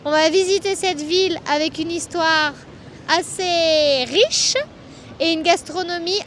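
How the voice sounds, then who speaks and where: A woman's voice: very high voice, a little slow, a bit bright, slight background noise. A young woman narrating a casual travel vlog outdoors in city streets, chatty, with many fillers and frequent laughs. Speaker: vlogger.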